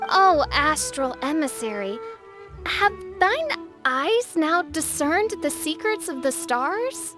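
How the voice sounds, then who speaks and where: A theatrical teenage princess in a fantasy game, high in pitch and slow in delivery. She declaims in pompous, archaic phrases with great melodrama. Faint music sits underneath. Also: big pitch swings, full character voice. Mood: grand, expectant. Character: theatrical, grandiose teenage self-styled dark princess. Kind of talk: video game character acting.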